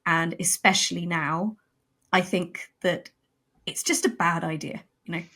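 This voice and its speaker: A woman's voice: fairly low pitch, steady tone, unhurried, pushed and bright. A woman who is a guest on a podcast, speaking in a firm, emphatic and articulate way. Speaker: podcast guest, audio drama writer. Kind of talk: casual conversational interview.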